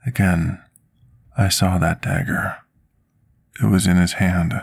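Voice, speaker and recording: A man playing a scarred, remorseful potion master in an intimate ASMR roleplay, speaking formally and deliberately like someone confessing his past. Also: low-pitched, flat delivery, creaky, breathy edge, no room sound, studio quality, full character voice. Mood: sorrowful, confessional. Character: scarred male potion master, devoted, remorseful, soft-spoken servant.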